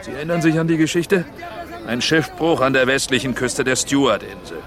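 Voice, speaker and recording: An actor playing a brash, scheming sea trader in a radio drama, boastful, coaxing and coarse. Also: male voice, medium pitch, expressive, fairly quick, natural voice. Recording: busy outdoor crowd behind. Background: outdoor crowd bustle.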